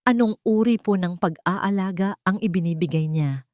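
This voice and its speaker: A woman's voice: medium pitch, normal speed, darkish tone, no room sound, lightly acted. Woman voicing a formal, probing social worker, very dry sound. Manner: polite, formal, serious questioning. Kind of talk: audio drama storytelling.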